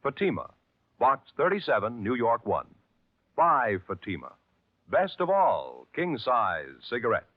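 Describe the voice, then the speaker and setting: A man's voice: mid-range voice, sing-song, measured pace. A man announcing a 1950s radio commercial in a persuasive, sing-song salesman style. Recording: tinny lo-fi 1950s radio broadcast.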